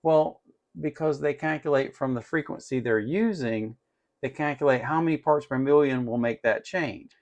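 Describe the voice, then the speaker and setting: A man's voice: mid-range voice, normal speed, darkish tone. A man hosting an electronics tutorial in a casual, unscripted way, explaining step by step as he works and often repeating himself.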